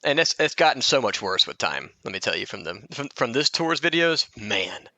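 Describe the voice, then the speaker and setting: A man's voice: mid-range voice, sing-song, normal speed, sharp tone, no echo. A man co-hosting a podcast in casual, informal chat, arguing his point.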